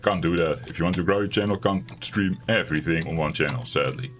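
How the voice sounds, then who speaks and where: A man with a raspy voice streaming a survival game from home, chatting casually and rambling in a self-deprecating way. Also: deep voice, brisk. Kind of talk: casual let's-play commentary.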